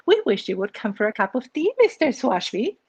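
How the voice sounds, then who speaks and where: A woman's voice: on the high side, big pitch swings, a little fast, darkish tone, husky, dry recording, full character voice. Woman doing a kindly granny, Peruvian accent. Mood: sweet. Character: kindly old granny, polite and friendly.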